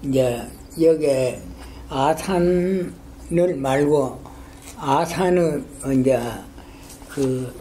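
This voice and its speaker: A man's voice: a little high, warm tone, slight background noise, low-quality recording. Older man with a strong Gyeongsang dialect. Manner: halting, rambling, many fillers and restarts. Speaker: interviewee, retired school principal. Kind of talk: oral history interview.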